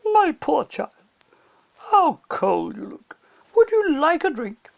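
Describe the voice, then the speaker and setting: A man's voice: very high-pitched, sing-song, slow, creaky, muffled recording, voice pushed up, full character voice. A man voicing an imperious, stern witch queen in a storybook reading, with a haughty, commanding manner. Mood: coaxing.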